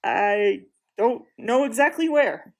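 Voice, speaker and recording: A woman voicing a stout, stubborn non-human marsh creature who speaks bluntly and a bit haltingly, in a storybook reading. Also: on the high side, animated. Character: gloomy, stubborn marsh-wiggle, stout and earnest.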